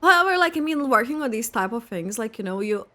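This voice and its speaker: Bright, animated young woman reacting to a game. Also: on the high side, fast talker. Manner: rambling, thinking aloud, frequent "like". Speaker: gaming streamer. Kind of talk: casual reaction commentary over a game.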